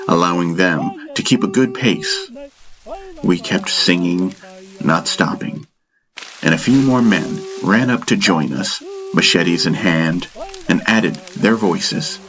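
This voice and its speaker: A man's voice: sits low, poor audio. A man reading a scripted first-person story as an audiobook narrator, with music behind him. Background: background music.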